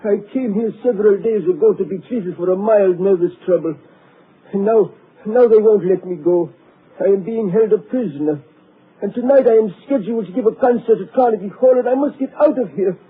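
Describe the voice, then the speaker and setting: An actor playing a deluded, agitated asylum patient who pleads to be let go, with a husky voice, in a 1940s radio drama. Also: male voice, sits high, a little fast. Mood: pleading. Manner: pleading, agitated, grows frantic. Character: frantic male asylum patient claiming to be a pianist. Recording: tinny 1940s radio broadcast.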